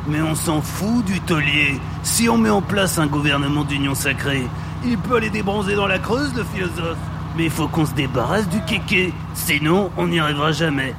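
A man's voice: a little high, lively intonation, normal speed, gravelly, very noisy. Gloating, bellicose politician played by a man. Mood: scheming, impatient. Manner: grandiose, menacing, gloating. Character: bellicose, ruthless male politician playing warlord, gloating. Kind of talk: satirical audio fiction acting.

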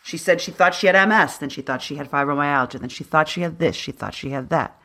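Podcast host: low voice, earnest and personal, conversational interviewer. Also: quick pace, pushed and bright. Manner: earnest, personal, shares own story.

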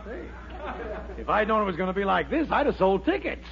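A man playing a wily, flattering storekeeper uncle with gushing, drawn-out delivery in a 1940s radio sitcom. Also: high-pitched, creaky, a crowd in the background, exaggerated voice. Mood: wry. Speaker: comic actor. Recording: muffled, noisy 1940s radio recording.

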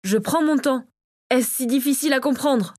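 A woman's voice: high-pitched, normal speed, darkish tone, no room sound, clean studio sound, voice pushed up. A woman voicing a firm, indignant and protective father in a fantasy audiobook.

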